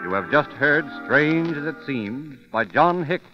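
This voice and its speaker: Old radio announcer guy, highish lively voice, music behind, dull recording. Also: unhurried. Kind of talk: 1940s radio announcing, scripted.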